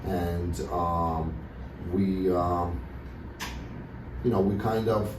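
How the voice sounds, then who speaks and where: A man's voice: deep voice, steady tone, extremely slow. A man from Russia who works as a dentist, answering interview questions casually with many fillers and restarts. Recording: echoey room, mic at a distance, background noise.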